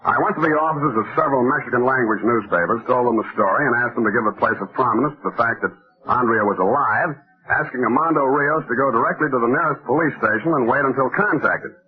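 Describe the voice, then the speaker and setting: An actor playing a wry, self-assured private detective in a mid-century radio drama, narrating and questioning in a sardonic way. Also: male voice, mid-range voice, quick pace, lightly acted. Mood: brisk narrating. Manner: wry, sardonic, hard-boiled. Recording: tinny, narrow-band old radio recording.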